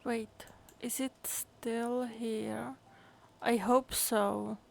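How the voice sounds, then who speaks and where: Woman, game streamer, fairly high pitch, unhurried slow delivery, casual, hesitant, reading dialogue aloud. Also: little pitch movement, gravelly.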